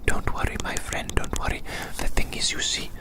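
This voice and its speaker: Hushed, whispering ASMR roleplayer. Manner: slow, repeats phrases, addresses listener as little friend. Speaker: ASMR performer. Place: outdoors.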